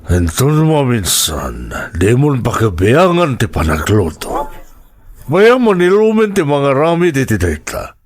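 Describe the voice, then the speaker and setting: Slow, disapproving older father-in-law, acted by a man. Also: medium pitch, huge pitch swings. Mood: dismissive. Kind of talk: radio-style Ilocano drama acting.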